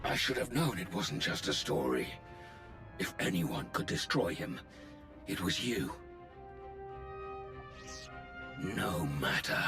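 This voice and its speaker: A very low-voiced, menacing warlord in a video game, proud and unyielding. He speaks slowly and deliberately, with loud music underneath. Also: big pitch swings, heavily acted. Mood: defiant resolve. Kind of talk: dramatic video game acting.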